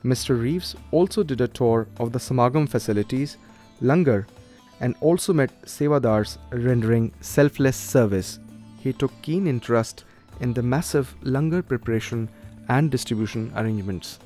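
A man's voice: mid-range voice, normal speed, mellow, soft background music. A man working as a news reporter, presenting scripted reports and interview questions.

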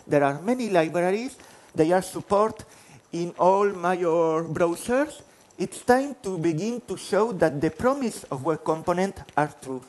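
A man in his forties from Spain with a Spanish accent, giving a conference talk on stage, self-deprecating, restarting phrases. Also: high-pitched. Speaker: conference speaker. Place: large conference hall stage.

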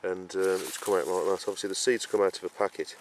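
A man's voice: sits low, fairly even intonation, fast. A man touring his vegetable allotment on camera, explaining his planting step by step in a rambling way, with lots of fillers and restarts. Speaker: allotment gardener, show host. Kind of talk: casual garden tour walkthrough. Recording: noisy outdoor camera audio. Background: outdoor rural ambience.

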